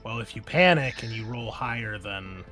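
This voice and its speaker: A man's voice: on the low side, expressive, moderate pace. Guy game mastering a tabletop rpg, explains rules and sets scenes, music playing underneath. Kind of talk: running a tabletop roleplaying game, improvised narration and rules talk.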